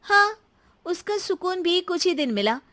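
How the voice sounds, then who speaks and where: A woman voicing a young daughter-in-law who is fed up and speaks in plaintive, emphatic complaints in an animated story. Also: extremely high pitch, measured pace, pitched above the performer's own voice, full character voice. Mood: annoyed. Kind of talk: animated moral story dialogue.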